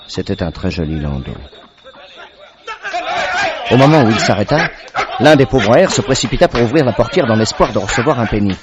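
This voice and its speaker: An actor playing a loyal companion who tells the tale vividly in a French radio drama and is easily exasperated. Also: male voice, mid-range voice, sing-song, fairly quick, long pauses, very noisy, close to an everyday voice. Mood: urgent, excited. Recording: dull old radio recording, crowd murmur behind.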